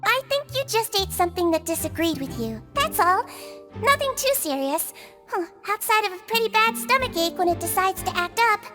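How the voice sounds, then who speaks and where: Tiny, earnest game medic: childlike, very high voice, reassuring and measured, music underneath. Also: female voice, big pitch swings, sharp tone, heavily acted. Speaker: prison medic. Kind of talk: English game dub acting.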